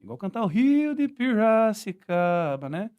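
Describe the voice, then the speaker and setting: A man's voice: high-pitched, sing-song, a little slow, clear, resonant tone, highs cut off. A man with a Brazilian accent telling stories as a musician guest in a casual interview, often checking in with little tags. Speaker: guest musician, singer-songwriter.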